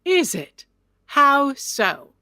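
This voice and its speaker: An actress playing a proud, aggrieved older widow in an audio drama, speaking in a formal, imperious way. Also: female voice, sits high, very animated, very slow, sharp tone, dry recording, clean studio sound, heavily acted. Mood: indignant. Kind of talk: audio drama acting, period dialogue.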